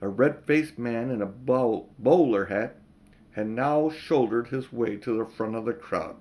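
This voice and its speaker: A man's voice: medium pitch, unhurried, darkish tone. A man reading an audiobook, narrating a storybook and adding informal asides to the listener. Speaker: audiobook reader.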